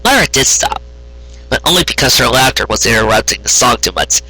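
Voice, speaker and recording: A man reading a story aloud as its narrator, in an expressive storytelling style. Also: medium pitch, brisk, breathy edge, dry recording, poor audio. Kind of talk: expressive fan fiction narration, read aloud.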